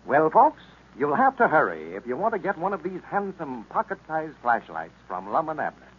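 An American male radio announcer in the 1930s manner. His scripted delivery swings up and down in a lively way. The vintage recording sounds dull, with faint noise. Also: on the high side, normal speed.

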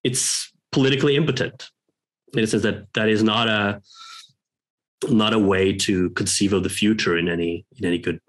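A man, a guest talking reflectively on a video call from a home room, pausing and restarting often as he thinks aloud. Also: lowish voice, steady tone. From Norway. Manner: hesitant, thoughtful, many pauses and restarts. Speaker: guest, professor. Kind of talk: reflective interview talk. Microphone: headphones on a video call.

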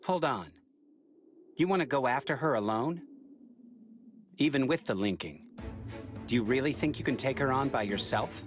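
A man voicing a cool, level-headed young male android in an anime game, calm and skeptical. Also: mid-range voice, normal speed, pauses often, soft background music, close to an everyday voice.

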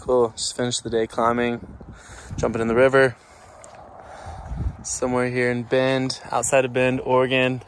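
A man's voice: mid-range voice, completely flat delivery, normal speed, long pauses. A young man casually vlogging a road trip, laid-back and filler-heavy, from inside a truck and at campsites. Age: young adult. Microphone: phone camera at arm's length. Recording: loud road and outdoor noise.

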